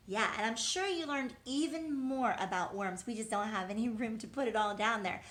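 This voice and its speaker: A woman's voice: fairly high pitch, a bit bright. A woman teaching young children in an encouraging way, asking questions, answering them as if replying to the children and repeating key words. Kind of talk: interactive lesson for young children.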